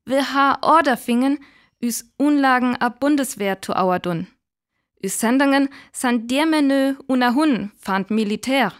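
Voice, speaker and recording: A woman acting an earnest radio station spokesperson in a drama, giving a formal address with a North Frisian accent. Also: a little high. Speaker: radio reporter.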